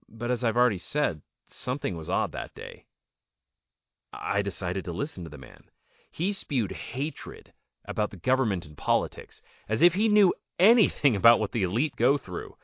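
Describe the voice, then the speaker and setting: A man playing a proud, bitter ousted politician in an audio drama, telling his story in a deliberate, theatrical monologue with dramatic pauses. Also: lowish voice, no room sound, lo-fi sound. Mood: indignant, recollecting.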